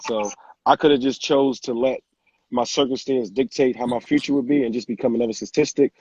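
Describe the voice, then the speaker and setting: A young man from Senegal, a guest entrepreneur, talking in a relaxed, plain-spoken way on a live phone video stream. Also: mid-range voice, slight background noise. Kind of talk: casual live-stream interview. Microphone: phone camera on a live video stream. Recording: dull lo-fi phone audio.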